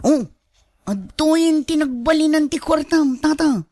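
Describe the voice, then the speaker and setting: A woman's voice: sits high, measured pace, dark tone. A woman playing a sly, coaxing gambling crony in a radio drama, persuasive and confident. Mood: smug, reassuring. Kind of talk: Ilocano radio drama acting.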